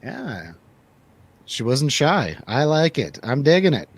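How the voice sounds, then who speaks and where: A man's voice: medium pitch, sing-song, takes it slowly, vocal fry, slightly rough. Jokey male radio host. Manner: jokey, playful. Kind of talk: casual movie review banter on a radio show.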